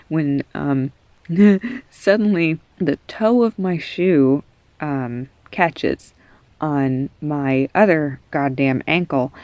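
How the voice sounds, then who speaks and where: A woman's voice: low-pitched, darkish tone, dry recording, exaggerated voice. A young woman playing an affectionate, self-deprecating girlfriend in an intimate roleplay audio, rambling candidly and casually. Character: affectionate, self-deprecating girlfriend comforting her partner, goofy storyteller.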